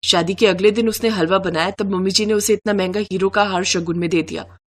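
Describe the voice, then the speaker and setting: A woman's voice: medium pitch, fairly even intonation, a little fast, close to an everyday voice. A woman voicing a jealous, scheming elder sister-in-law who talks in a curt, resentful mutter.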